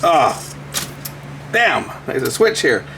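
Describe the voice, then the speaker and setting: A clearly noticeable steady background noise sits behind a man with an average-pitched voice. He talks casually through a hands-on repair job. His delivery is unscripted, as if he is working things out aloud while he goes. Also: takes it slowly. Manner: unscripted, thinking aloud as he works. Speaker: electronics repair video host.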